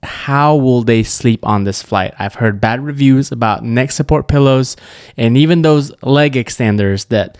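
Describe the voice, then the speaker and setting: A man's voice: medium pitch, a little slow, a little mellow, dry recording, studio quality. A man in his thirties from Malaysia, co-hosting a podcast in a casual, playful chat into a tabletop microphone. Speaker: podcast co-host. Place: small indoor room at a table. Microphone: tabletop podcast microphone on stand.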